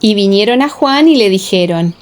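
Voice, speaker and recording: A woman narrating a scripture audiobook in a measured, solemn, scripted reading style. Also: mid-range voice, lively intonation.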